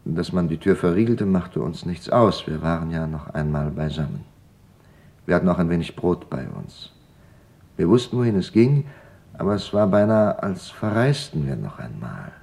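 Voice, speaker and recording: An actor playing a grieving father who recalls wartime loss in a halting, reflective radio-play testimony. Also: low-pitched, big pitch swings, gravelly, darkish tone, exaggerated voice. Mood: wistful. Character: grieving father recalling his family's wartime arrest and deportation.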